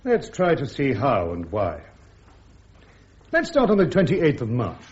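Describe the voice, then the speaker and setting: Combative army major, very animated. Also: male voice, medium pitch, unhurried. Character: combative male army major cross-examining in court. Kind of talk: radio drama acting. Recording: dull lo-fi radio drama recording.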